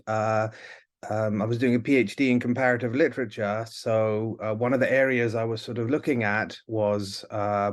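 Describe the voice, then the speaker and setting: A man from the UK who grew up in Italy, talking casually as an interview guest in halting, hesitant phrases. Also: lowish voice. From born in the UK, grew up in Italy. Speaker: guest, writer and editor.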